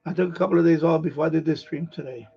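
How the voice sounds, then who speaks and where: A middle-aged man from New York with a New York accent, hoarse, chatting casually on his livestream and restarting his phrases as an eager sports fan. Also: a little high, very fast. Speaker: livestream host. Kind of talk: casual rambling chat. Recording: dull lo-fi stream audio.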